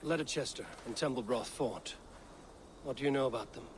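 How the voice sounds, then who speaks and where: A man's voice: medium pitch, moderate pace, a little hiss or hum, close to an everyday voice. A man playing a steadfast, self-assured Viking warrior in a video game, speaking directly and with control. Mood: businesslike. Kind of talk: dramatic video game acting.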